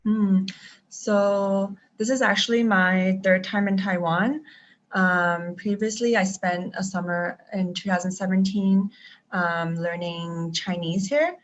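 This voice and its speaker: A woman's voice: medium pitch, steady tone, slow, slight background noise. A young woman chatting casually on a live video call, with lots of ums and restarts. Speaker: traveling teacher and guest. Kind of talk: live-stream video chat. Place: home in Taiwan. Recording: dull lo-fi video call audio.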